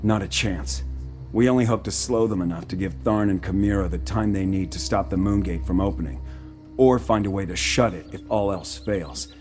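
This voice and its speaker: An actor playing a measured, resolute military commander in a fantasy video game. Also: male voice, low voice, a little mellow, soft background music, close to an everyday voice. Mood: grave, measured.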